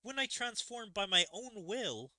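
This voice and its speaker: A man's voice: sits high, big pitch swings, a little slow, bright, forceful tone, voice pushed up, exaggerated voice. A man voicing an earnest, emotional young daughter from a fantasy game. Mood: wistful, uneasy. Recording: game music behind the voice.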